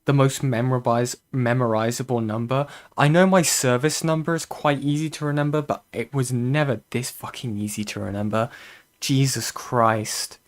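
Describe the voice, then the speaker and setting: A man's voice: medium pitch, steady tone, moderate pace. Blunt, scoffing male co-commentator. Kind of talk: casual stream commentary.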